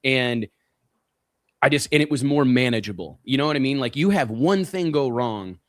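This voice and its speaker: A man's voice: medium pitch, lively intonation, moderate pace, no echo, clean studio sound. A man hosting a casual racing talk show, stressing points by repeating words. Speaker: show host. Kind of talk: casual podcast chat.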